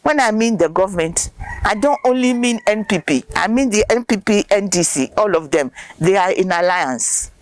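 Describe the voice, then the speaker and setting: Lively older woman, earnest. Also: mid-range voice, moderate pace, no room sound. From Ghana. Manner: earnest, emphatic, repeats words for stress. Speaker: studio guest. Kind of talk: impassioned interview answers.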